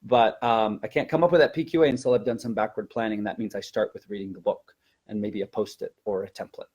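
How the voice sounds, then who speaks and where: A man's voice: fairly low pitch, steady tone, quick pace, a little mellow, breathy edge. A man speaking as a language teacher in an informal instructional webinar, explaining and repeating words for emphasis.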